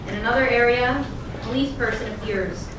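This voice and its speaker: A woman's voice: fairly high pitch, fairly quick. Woman reading stage directions in echoey hall. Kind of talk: scripted narration at a staged reading. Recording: echoey hall, distant mic, noisy.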